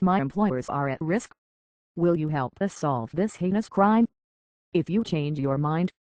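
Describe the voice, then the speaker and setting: A man's voice: fairly high pitch, darkish tone, no echo, close to an everyday voice. A prim British robot butler from a video game, acted by a man, speaks in a dry, close recording. He is formal and deferential, an anxious hotel concierge who minds every courtesy. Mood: pleading, worried. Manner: formal, deferential, fussily polite. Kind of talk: scripted video game character acting.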